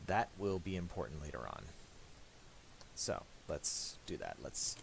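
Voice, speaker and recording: A man giving a casual software tutorial, speaking haltingly and restarting his phrases as he explains each step. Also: very deep voice, sing-song, creaky, a little hiss or hum. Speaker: tutorial presenter.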